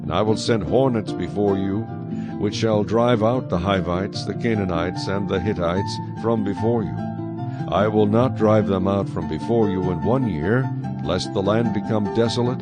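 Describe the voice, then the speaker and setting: A man narrating scripture in a measured, solemn, scripted style for a dramatized audio Bible. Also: lowish voice.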